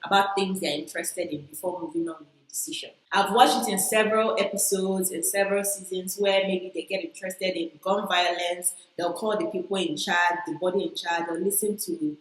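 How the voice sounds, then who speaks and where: Chatty Igbo-accented woman, low voice. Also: fairly even intonation, a little fast, hardly any pauses, echoey room, low-quality recording. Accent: Igbo-accented Nigerian English. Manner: chatty and playful, repeats herself for emphasis. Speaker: vlogger. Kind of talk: casual chat to camera.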